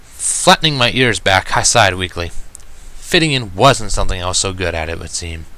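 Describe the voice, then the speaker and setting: A man narrating a first-person story in an expressive, reflective and dramatic way. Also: sits low, moderate pace. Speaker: reader of fan fiction. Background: background music.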